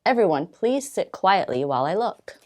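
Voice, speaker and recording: A mild, courteous schoolteacher from a children's storybook, read by a woman. He speaks politely and in an orderly way, with lively rises and falls in pitch. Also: moderate pace, lightly acted. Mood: mildly dismayed. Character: mild, courteous male schoolteacher, dismayed by messes. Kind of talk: storybook read-aloud character voice.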